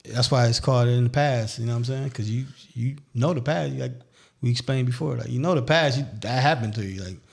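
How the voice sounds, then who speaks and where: A man's voice: medium pitch, fairly quick, gravelly, a little mellow, husky. A man hosting a podcast in casual, slangy chat, often repeating his phrases and checking that he is understood. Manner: slangy, repetitive, leaning on "you know what I'm saying". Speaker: podcast host.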